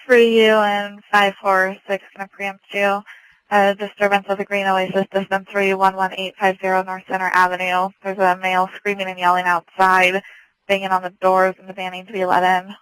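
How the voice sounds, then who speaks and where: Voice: medium pitch, completely flat delivery, normal speed, fairly bright tone, clear, resonant tone, dry recording, poor audio. A police dispatcher speaking in a clipped, businesslike way over a police scanner radio. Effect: police radio transmission.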